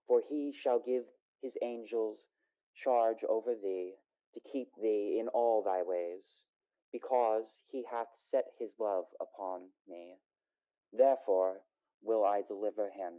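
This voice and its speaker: Guy doing gentle serious son in a radio drama, kinda low voice, tinny lo-fi line. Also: unhurried, close to an everyday voice. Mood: solemn. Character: earnest young son of a poor family, gentle. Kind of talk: solemn reading and radio play acting. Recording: tinny phone-like lo-fi sound.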